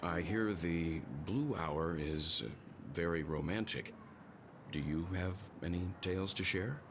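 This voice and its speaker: A deep, composed gentleman. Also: noisy background. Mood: patient, curious. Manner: polite, deliberate, thoughtful. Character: composed, courteous, probing gentleman in conversation. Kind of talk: video game voice acting, measured dialogue. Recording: loud music under the voice. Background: loud music.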